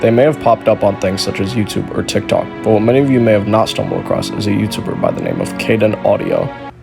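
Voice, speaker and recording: A man's voice: lowish voice, very animated, fast talker, vocal fry, over music. A man delivering scripted narration in the style of an exposé documentary. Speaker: video narrator.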